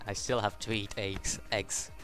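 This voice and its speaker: Young man reading game text haltingly. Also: on the low side, little pitch movement, a bit bright, soft background music. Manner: halting reading, stumbles over words. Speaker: gaming YouTuber. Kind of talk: casual let's play, reading game text aloud with commentary.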